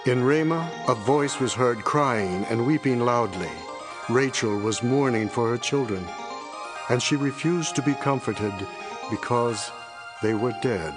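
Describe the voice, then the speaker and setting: An actor playing a solemn prophet who declaims scripture in an audio Bible drama. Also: male voice, medium pitch, a little slow, music in the background, lightly acted. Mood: mournful.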